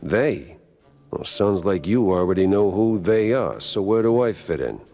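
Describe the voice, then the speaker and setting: An actor playing a weary, wisecracking hardboiled mouse detective in a noir video game, deadpan and world-weary. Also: male voice, on the low side, measured pace. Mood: weary, dismissive.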